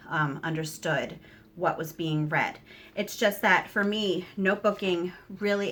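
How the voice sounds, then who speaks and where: A woman's voice: deep voice, a bit bright. A woman talking casually to viewers in an explanatory way, conversational and emphatic, often correcting herself as she goes. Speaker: homeschooling mother, video host.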